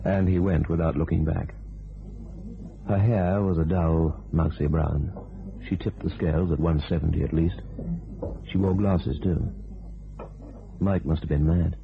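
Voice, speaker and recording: Very deep gravelly pulp crime narrator. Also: male voice, fairly even intonation, unhurried, long pauses. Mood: cynical. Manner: cynical, dry. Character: hardboiled male narrator of a pulp crime tale. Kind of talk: scripted narration for crime radio play. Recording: tinny old radio, faint hiss.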